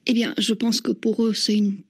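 A woman from Slovakia, speaking French as a second language with a Slovak accent, answering a radio interview thoughtfully, with many restarts and soft laughs. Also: a little high, level tone, normal speed, breathy edge. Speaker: interviewed novelist.

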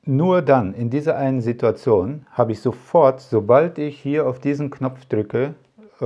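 A man's voice: mid-range voice, darkish tone, muffled recording. A man talking casually to camera as a tech vlogger, rambling with many fillers and asides. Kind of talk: casual product talk to camera.

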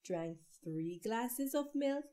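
Slow-paced, mellow woman reading a storybook. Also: on the high side, lively intonation. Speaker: children's story reader. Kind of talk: read-aloud storybook narration.

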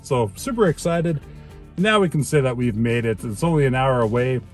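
A man's voice: mid-range voice, normal speed. Man in his 30s, upbeat car vlogger, phone mic, faint music bed. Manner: chatty, enthusiastic, many fillers. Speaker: EV road trip vlogger. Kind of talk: casual vlog talk to camera. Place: inside a parked car. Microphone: phone camera at arm's length.

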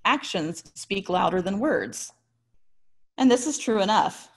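An American woman in her thirties giving a prepared but conversational sermon as a pastor, with expressive, articulate storytelling. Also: mid-range voice, measured pace. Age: 30s. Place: small indoor room.

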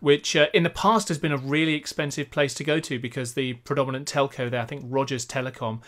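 A man's voice: medium pitch, fairly even intonation, fast, a bit bright. A man in his forties with a British accent, explaining things in a casual tech discussion on a studio mic. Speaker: podcast co-host. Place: home office, video call. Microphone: studio condenser mic.

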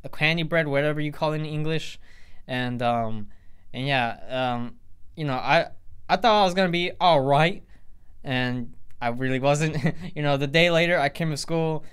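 Teen male vlogger, smooth clear voice a touch high, casual rambling delivery with lots of fillers. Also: normal speed, a bit bright. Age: teens. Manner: rambling, full of fillers and restarts. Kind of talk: casual storytime vlog. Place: indoors in front of a plain grey backdrop.

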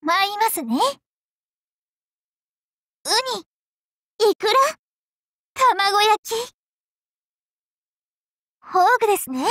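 A woman's voice: very high-pitched, expressive, extremely slow, long pauses, a bit bright, dry recording, clean studio sound, exaggerated voice. A woman playing a young, sweet, clingy dragon princess in a mobile game, very polite and girlish with theatrical, coquettish delivery. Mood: playful, polite. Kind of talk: Japanese mobile game character voice acting.

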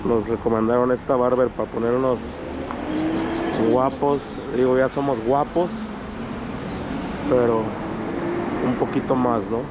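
A man's voice: medium pitch, flat delivery, measured pace, long pauses, lo-fi sound, heavy background noise. A young man, casually narrating a travel vlog to his viewers in an upbeat, laughing way. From Mexico. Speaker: vlogger. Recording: music playing loudly behind.

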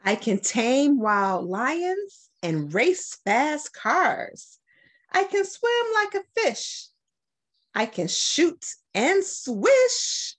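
A middle-aged American woman from Detroit, a school principal, doing an expressive storytime read-aloud with deliberate phrasing. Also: high voice. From Detroit, United States. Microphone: webcam at about arm's length.

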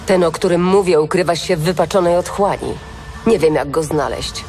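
A woman's voice: lowish voice, normal speed, natural voice. An actress playing a determined, guarded and anxious female elven ranger in an audio drama. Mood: worried. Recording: voice over music.

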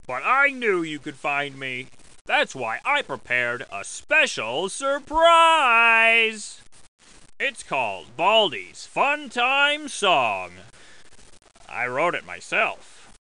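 A man's voice: high-pitched, wildly swooping pitch, drawn-out words, bright, forceful tone, clear, resonant tone, full character voice, low-quality recording. A man voicing an over-enthusiastic, eerie schoolteacher in a video game, with an exaggerated cartoon voice and emphatic delivery. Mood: gleeful. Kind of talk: video game character voice lines.

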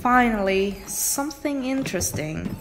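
A woman's voice: on the high side, expressive, measured pace, big-room sound, over music, low-quality recording. A woman playing a bored, sarcastic twenty-one-year-old daughter in an audio drama, with a dry, argumentative manner. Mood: curious, amused.

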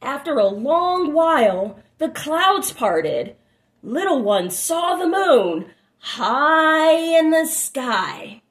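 Slow, highly expressive woman storyteller. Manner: dramatic, drawn-out phrasing. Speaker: storyteller reading a picture book aloud. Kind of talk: expressive children's read-aloud with asides. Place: small room at home, indoors.

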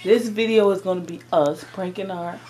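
A woman's voice: lowish voice, moderate pace, dark tone. A young woman hosting a casual prank vlog, speaking in a hyped, slangy, informal way. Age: young adult. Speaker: vlog host, mother. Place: small bedroom at home. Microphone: camera at arm's length.

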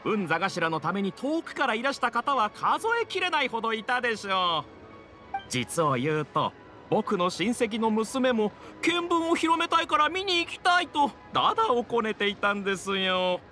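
A man's voice: sits high, moderate pace, soft background music, full character voice. An actor playing a pushy, smug middle-aged male reporter in a video game, with an oily, scornful way of talking. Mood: fawning. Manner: oily flattery turning to sneering scorn. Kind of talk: dramatic Japanese video game voice acting.

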